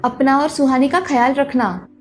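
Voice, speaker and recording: A woman's voice: sits high, moderate pace, faint music behind, close to an everyday voice. A woman voicing a caring but firm mother of grown daughters in a cartoon story. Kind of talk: Hindi animated moral story dialogue.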